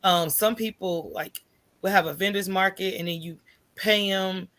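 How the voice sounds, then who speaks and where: A woman's voice: mid-range voice, fairly even intonation, normal speed, a bit bright. An American woman telling her own story as an interview guest in an informal, candid way, often checking in with "right?". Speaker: podcast guest, entrepreneur. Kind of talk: conversational personal storytelling in an interview.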